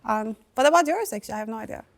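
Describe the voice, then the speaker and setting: Higher-voiced Turkish woman in casual conversation. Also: animated, very fast. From Turkey. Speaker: research scientist. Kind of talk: casual conversation about life and career.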